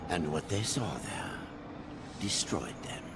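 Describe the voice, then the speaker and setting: An actor playing a cryptic otherworldly guide in a video game, speaking in a solemn, theatrical, gravelly voice. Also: male voice, huge pitch swings, slow pace, exaggerated voice. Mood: grave, ominous. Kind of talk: video game cutscene acting. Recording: noisy game audio with loud rumbling ambience.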